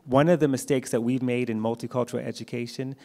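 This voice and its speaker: A man's voice: medium pitch, steady tone, fast talker, dark tone. A middle-aged man responding as a panelist, explanatory and emphatic, into a handheld microphone. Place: theatre stage. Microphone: handheld microphone held close.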